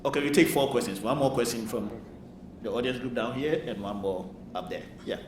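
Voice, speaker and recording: A man moderating a panel, taking audience questions in a brisk, informal way. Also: mid-range voice. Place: lecture hall. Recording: echoey hall, distant mic, noisy.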